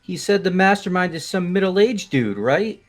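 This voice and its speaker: A man voices a brash young slacker from a visual novel game. He talks high, in slangy, exclamatory bursts of disbelief, with music faintly underneath. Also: expressive, moderate pace. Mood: skeptical. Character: brash, incredulous, laid-back young male student.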